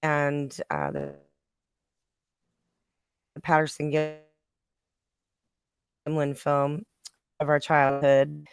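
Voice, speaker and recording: A woman's voice: deep voice, big pitch swings, drawn-out words, long pauses. A middle-aged woman chatting casually as a guest on a talk show. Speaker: podcast guest. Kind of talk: casual chat. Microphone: laptop on an online video call.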